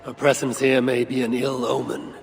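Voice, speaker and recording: An actor playing a grave warrior god in a video game, formal and measured. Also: male voice, mid-range voice, flat delivery, vocal fry, close to an everyday voice. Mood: stern. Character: solemn, grave Norse god warrior lord. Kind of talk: video game cutscene acting. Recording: long echoing reverb, faint ambient noise.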